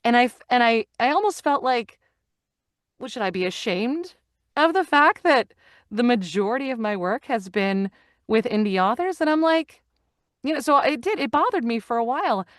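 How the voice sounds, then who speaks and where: A middle-aged woman, a voice actor and audiobook narrator, chatting in an interview with lively enthusiasm and many restarts. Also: fairly high pitch, moderate pace, no echo, clean studio sound. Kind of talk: casual interview chat. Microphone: studio microphone.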